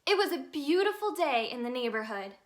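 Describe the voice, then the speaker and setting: A woman reading a children's picture book aloud as a storyteller, in an expressive, sing-song manner aimed at young children. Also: high voice, fairly quick. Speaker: storyteller in character as the Tooth Fairy.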